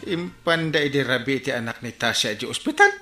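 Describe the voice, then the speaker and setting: Man acting as comical village captain, swooping bright voice, exclamatory, radio comedy, echoey. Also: mid-range voice, wildly swooping pitch, fairly quick, faint music behind. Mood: incredulous. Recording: echoing radio drama, birdsong behind.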